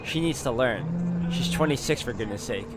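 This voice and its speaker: A man's voice: medium pitch, animated, moderate pace, heavy background noise. A man reading first-person visual novel narration aloud as he plays through the game.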